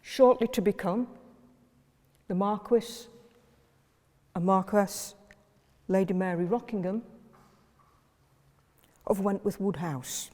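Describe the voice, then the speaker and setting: A woman historian giving a public lecture in a measured, list-like way with occasional fillers. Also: lowish voice, dark tone, in a large hall.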